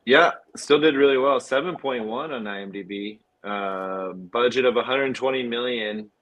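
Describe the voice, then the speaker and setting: A man hosting a film podcast in a casual, halting way, thinking aloud as he goes. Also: medium pitch, fairly bright tone.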